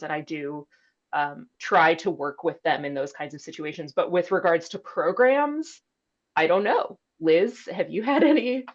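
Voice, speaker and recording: Low-voiced woman moderating, slightly muffled. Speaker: panel moderator and academic librarian. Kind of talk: conversational moderating, reading out audience questions.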